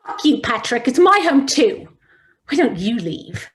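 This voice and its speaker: A woman's voice: on the high side, sing-song, unhurried, slight background noise. A woman playing a distraught woman in her late twenties arguing with her partner in a drama table read. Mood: angry, hurt.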